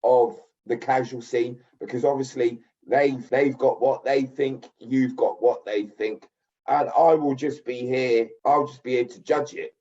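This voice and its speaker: A man hosting a casual football podcast, speaking in a measured, neutral way. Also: mid-range voice, fairly even intonation, dark tone. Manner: brief interjections, measured and neutral. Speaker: podcast host. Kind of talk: casual chat. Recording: dull lo-fi sound.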